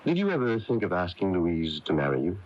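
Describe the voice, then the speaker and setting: An actor playing a firm, knowing male confidant in a radio mystery drama, questioning deliberately and pressing for answers. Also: low-pitched, wildly swooping pitch. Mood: probing, insistent. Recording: muffled 1980s AM radio tape, faint music.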